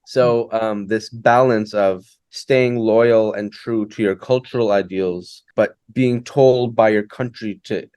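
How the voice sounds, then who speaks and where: A man, a guest poet answering interview questions thoughtfully, often pausing and restarting as he thinks aloud. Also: on the low side, steady tone, darkish tone, clear, resonant tone, no echo. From Hamilton, Ontario, Canada. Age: late 20s. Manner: reflective, thinks aloud with many fillers and restarts. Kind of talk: conversational interview.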